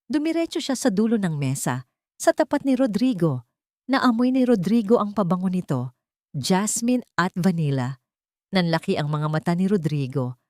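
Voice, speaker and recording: A woman's voice: on the low side, darkish tone, no echo, studio quality. A woman narrating a dramatic romance audiobook from a script.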